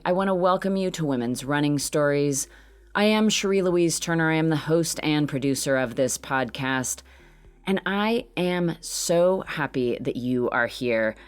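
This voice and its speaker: A woman podcast host and producer reading a scripted introduction in an earnest, emphatic way. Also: low-pitched.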